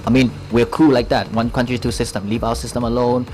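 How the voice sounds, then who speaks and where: A man's voice: mid-range voice, fairly even intonation, fast, darkish tone, slight background noise. A man from Hong Kong giving unscripted, explanatory interview answers, searching for words but speaking with emphasis. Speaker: interview guest, protest supporter.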